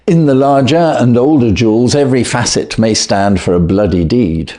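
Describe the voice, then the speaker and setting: A man reading the part of a brisk, clever, self-assured Victorian detective in an audiobook, with precise, commanding, theatrical delivery. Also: mid-range voice, dark tone, lightly acted. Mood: musing, grave.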